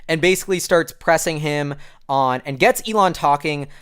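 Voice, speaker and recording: A man's voice: on the high side, moderate pace, fairly bright tone, clean tone. An American man giving casual, off-the-cuff commentary as a show host, rambling informally with plenty of filler phrases. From United States. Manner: informal, rambling, heavy use of "like" and "you know".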